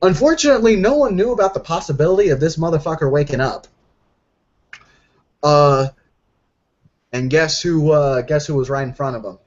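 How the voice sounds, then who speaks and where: Dude on a muffled voice call telling his rpg character's story, slangy and sweary, slightly high voice. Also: moderate pace, pauses often. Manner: heavy swearing, slangy, animated recounting. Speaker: tabletop roleplay player. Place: online group voice call. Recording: dull lo-fi voice call.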